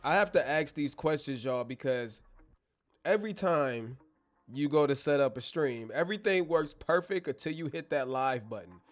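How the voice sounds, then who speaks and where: A man's voice: a little high, expressive, normal speed, faint music behind. A man hosting a casual live Q&A stream from his bedroom studio, talking straight to his chat in a slangy, joking way, on a clip-on mic. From born in Germany, American. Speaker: livestream host. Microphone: wireless clip-on mic.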